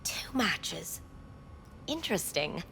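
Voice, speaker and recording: A woman playing a poised, enigmatic receptionist at a futuristic club in a video game, with formal, measured, coy delivery. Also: on the low side, very animated, gravelly, bright, forceful tone, whispered, heavily acted. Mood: composed, businesslike. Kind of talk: video game voice acting, scripted dialogue.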